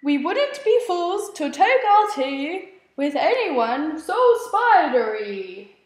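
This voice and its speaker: A woman's voice: high-pitched, sing-song, slow, reverberant, full character voice, rough recording. A woman voicing a haughty, dismissive bumblebee in a storybook, with a snooty, disdainful manner.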